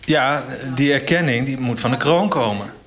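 An actor playing an earnest, easily indignant institute director in a satirical radio interview, hesitant and pedantic. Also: male voice, mid-range voice, normal speed, close to an everyday voice. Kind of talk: mock radio interview, satirical audio drama. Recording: busy call centre chatter behind. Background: crowd of voices in a call centre.

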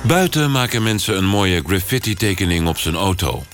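A male radio announcer with a low voice reads scripted promos. His delivery swings widely in pitch, and music plays clearly behind him. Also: animated, normal speed, fairly bright tone.

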